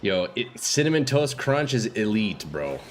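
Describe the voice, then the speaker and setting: Young male streamer, congested, relaxed slangy chat, pitch bounces a lot. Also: a little slow, slight background noise. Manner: laid-back and slangy, calls viewers bro and dude. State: stuffy nose. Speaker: game streamer. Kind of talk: casual live-stream chat with viewers.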